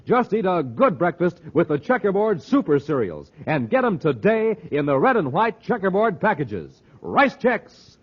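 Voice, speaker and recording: Animated 1950s American radio announcer man. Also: sits high, normal speed, hardly any pauses, husky. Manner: dramatic, punchy salesmanship. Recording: muffled, hissy old radio recording.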